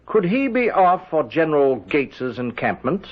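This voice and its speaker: A man playing a deferential, formal officer who flatters his general in a radio drama. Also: fairly high pitch, normal speed. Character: deferential, eager male military aide to a general. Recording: dull, muffled old radio broadcast.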